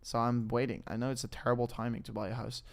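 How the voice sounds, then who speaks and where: A man's voice: medium pitch, flat delivery, fast, gravelly. A young man with a Dutch accent hosting a live crypto stream, rambling casually, often breaking off and restarting his sentences. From Netherlands. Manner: rambling, self-interrupting, hesitant with fillers. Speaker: crypto livestream host.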